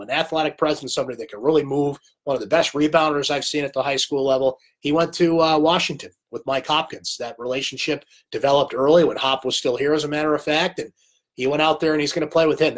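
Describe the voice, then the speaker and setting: A man hosting a live sports recap stream, casual and opinionated, answering viewer comments while losing his voice to a cold. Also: fairly high pitch. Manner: chatty, opinionated, reads viewer comments aloud.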